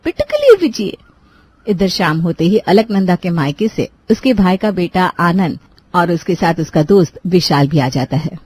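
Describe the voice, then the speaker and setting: A woman storyteller reading scripted narration for an animated family story. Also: fairly low pitch, normal speed, husky.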